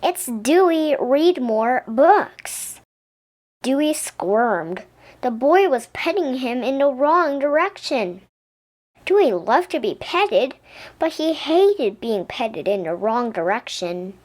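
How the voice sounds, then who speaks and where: A woman narrates a picture book for children in a high voice. Her delivery is very animated and unhurried, shaping each phrase for young listeners. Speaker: storybook reader. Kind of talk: expressive children's story narration.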